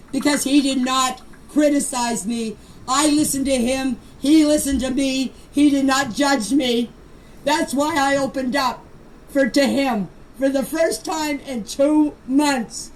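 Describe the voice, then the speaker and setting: A woman's voice: sits high, fairly even intonation, a little slow. An older woman giving an unscripted personal account in voice messages, speaking emphatically and defensively and repeating phrases to press her point. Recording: noisy amateur voice-message clips.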